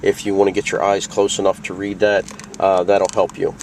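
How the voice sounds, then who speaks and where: A man's voice: deep voice, monotone, brisk, creaky, background noise. A man presenting a product tutorial in a casual, plain-spoken, conversational way, walking through things step by step. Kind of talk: casual instructional walkthrough.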